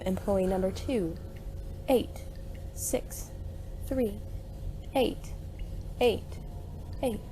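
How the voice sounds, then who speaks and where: A woman playing a robotic corporate orientation system in an audio drama, monotone and bureaucratic, with stilted procedural politeness. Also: on the high side, slight background noise. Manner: stilted, procedural, scripted announcements.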